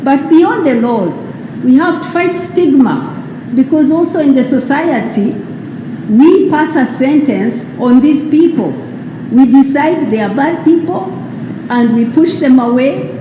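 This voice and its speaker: A woman's voice: sits high, lively intonation. Woman speaker at a public ceremony, emphatic and rhetorical, noisy echoing PA. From Uganda. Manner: slow, emphatic, rhetorical questions. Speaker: speaker at a World AIDS Day event. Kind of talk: formal public speech. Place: large hall at a public ceremony.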